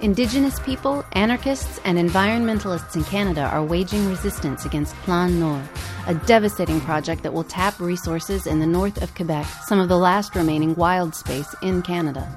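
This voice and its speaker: A woman's voice: on the low side, brisk, music in the background. A woman podcast host reading a scripted narration.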